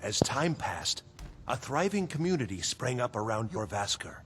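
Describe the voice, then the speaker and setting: A proud officious steward in a fantasy game, formal, voice goes up and down a lot, slight background noise. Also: male voice, medium pitch, big pitch swings, measured pace, a bit bright. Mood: proud, courteous. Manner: pompous, formal, courtly. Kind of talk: scripted video game dialogue.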